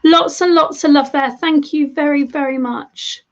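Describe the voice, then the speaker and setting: A woman's voice: high-pitched, fairly even intonation, measured pace. A woman giving live tarot readings to viewers, chatty and affectionate, calling them darling and love, her voice a little worn as she is losing it. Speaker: tarot reader. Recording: dull lo-fi stream audio.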